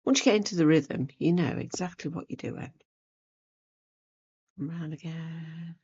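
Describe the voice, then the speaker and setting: A woman with a croaky, hoarse voice from a cold, giving a casual, chatty craft tutorial and thinking aloud as she goes. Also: low-pitched, unhurried, darkish tone, lo-fi sound. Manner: unscripted, rambling, thinking aloud while working. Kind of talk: casual chatty needlework demonstration.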